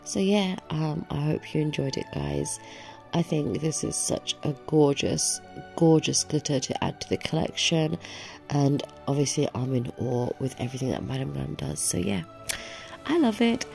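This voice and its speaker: A young woman with a cold talks in a nasal, sore-throated voice, gushing over everything she shows. Her voice sits low and mellow, with a gravelly edge. Soft music plays faintly beneath her. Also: sing-song, moderate pace. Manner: gushing, enthusiastic. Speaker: nail vlogger. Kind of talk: voiceover for a product unboxing.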